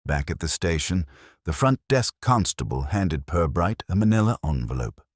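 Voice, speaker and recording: A man with a very deep voice narrates a mystery story. His delivery is measured and deliberate, yet expressive in pitch, with a dry, close sound. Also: husky, no room sound.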